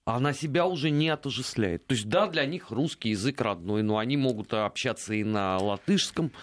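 A man's voice: mid-range voice, expressive, fairly quick. A man appearing as a guest commentator on a political talk show, giving confident, assertive analysis.

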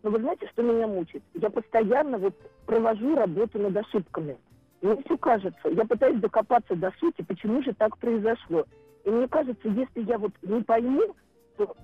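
A woman's voice: mid-range voice, breathy edge, no room sound. A woman in her forties calling in to a radio show, speaking earnestly and emotionally, in a confiding way. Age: 40s. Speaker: caller. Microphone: telephone. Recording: phone line.

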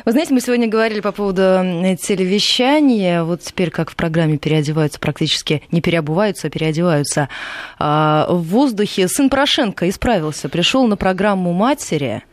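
A woman's voice: fairly low pitch, fairly quick, dry recording. A woman hosting a talk show, asking her guests questions conversationally. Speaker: host.

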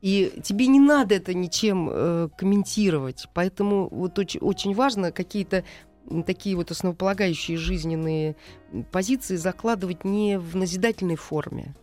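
A woman's voice: on the low side, normal speed, darkish tone. Woman explaining, faint music behind. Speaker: animation film director, studio guest. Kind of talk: explanatory interview talk.

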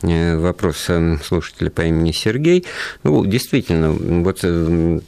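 Deep-voiced male radio host. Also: lively intonation, measured pace, vocal fry, mellow, smooth. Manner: thoughtful, hesitant, with frequent fillers. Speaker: radio talk show host. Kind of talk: live radio talk show discussion. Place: radio studio.

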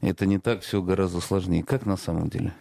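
A low male voice steering a broadcast interview. He speaks briskly, framing questions and closing segments with rhetorical flourishes. The sound is dry and close-miked. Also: steady tone, vocal fry, warm tone, dry recording. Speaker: host. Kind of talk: broadcast interview and show hosting.

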